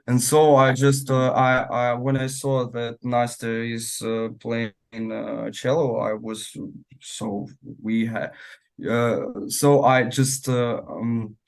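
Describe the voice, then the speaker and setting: Male musician, slow and halting in interview, mid-pitched. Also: level tone, gravelly. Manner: hesitant, many fillers and restarts. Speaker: band musician.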